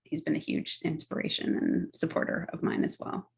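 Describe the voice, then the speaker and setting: A middle-aged woman answering podcast interview questions in an articulate, earnest, enthusiastic way. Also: moderate pace. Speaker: guest, tech policy executive.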